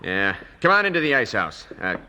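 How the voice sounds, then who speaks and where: A man playing a menacing, sardonic kidnapper boss in a 1970s radio drama, gruff and mocking. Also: lowish voice, very animated, fairly quick, background noise.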